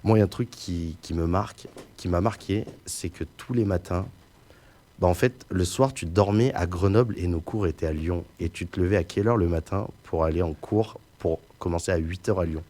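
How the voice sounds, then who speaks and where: A man's voice: deep voice, fairly quick, mellow. A young man hosting an interview, asking friendly questions with frequent hesitations and restarts. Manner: hesitant, restarts and "euh" fillers while framing questions. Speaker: interviewer and podcast host.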